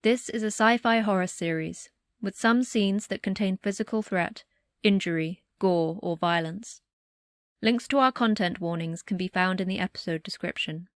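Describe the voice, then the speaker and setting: A woman's voice: medium pitch, moderate pace, no room sound. Dry-sounding woman announcer. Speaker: podcast announcer. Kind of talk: scripted announcement.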